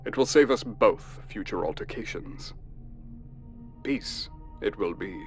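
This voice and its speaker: A man playing a ruthless human military officer in a science fiction audio drama, sardonic, deliberate and coldly courteous. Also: lowish voice, lively intonation, faint music behind.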